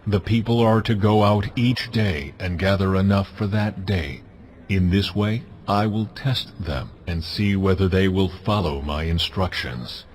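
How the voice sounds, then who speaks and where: An actor playing God as a solemn, authoritative deity, speaking deliberately in a dramatized scripture. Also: male voice, sits low. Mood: solemn, commanding.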